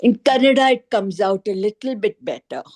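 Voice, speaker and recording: A woman's voice: mid-range voice, lively intonation, moderate pace, darkish tone. A woman in an informal group discussion on a video call, speaking hesitantly and searching for her words as a participant. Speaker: book club participant.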